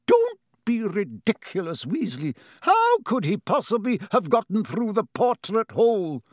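Man as stern older female teacher, high, clipped, incredulous, expressive storybook scolding. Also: slow, dry recording, clean studio sound, exaggerated voice. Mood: stern, exasperated.

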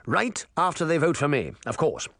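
A man's voice: fairly high pitch, very animated, moderate pace, a bit bright, dry recording, full character voice. A man voicing a proud, gallant mayor in a storybook, commanding and self-assured with a wry threat. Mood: defiant, self-assured.

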